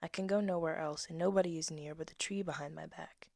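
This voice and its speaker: A woman, reading her old poems and essay aloud with casual asides, soft-spoken and slipping into whispers. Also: sits low, steady tone, brisk, no echo, studio quality. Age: 20s. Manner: soft-spoken, drifts into whispers, laughs at herself. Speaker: poet reading her own work.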